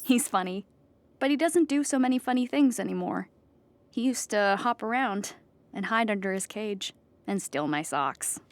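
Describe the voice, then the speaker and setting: An actress playing an anxious, earnest, depressed clown in a theatrical audio drama monologue, explaining with dramatic emphasis. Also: female voice, mid-range voice, animated, normal speed, close to an everyday voice. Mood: earnest, fond.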